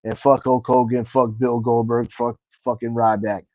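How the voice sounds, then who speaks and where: A man's voice: medium pitch, level tone, moderate pace, a little mellow, no echo, low-quality recording. A man co-hosting a casual wrestling podcast, talking bluntly with heavy slang and constant cursing. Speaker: podcast co-host. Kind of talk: casual profane chat about pro wrestling.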